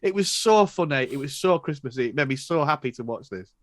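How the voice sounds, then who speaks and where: Man, Yorkshire accent, lively swooping pitch, excited restarts, casual podcast chat. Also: brisk, a little hiss or hum. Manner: enthusiastic, swearing, stammering restarts. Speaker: podcast co-host.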